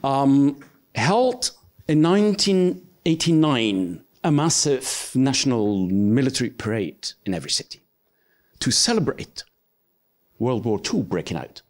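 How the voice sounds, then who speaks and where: A man's voice: medium pitch, sing-song, a little slow, darkish tone. A middle-aged man from Switzerland, a research professor, telling a personal story to an audience in a crowded cafe room on a handheld mic, earnest and emphatic with some hesitation. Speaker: research professor speaking at an event.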